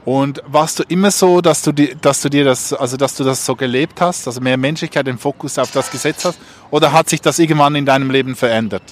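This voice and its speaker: A man's voice: mid-range voice, fast talker, a little hiss or hum. Casual male podcast host, mid-range voice. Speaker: podcast host and street interviewer. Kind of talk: casual street interview and podcast chat.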